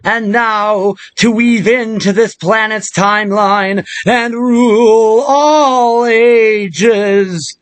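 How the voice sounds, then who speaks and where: Man putting on a shrill, grandiose evil queen. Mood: menacing, triumphant. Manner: grandiose, drawn-out villainous declaiming. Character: gloating, megalomaniac immortal sorceress queen from a fantasy game.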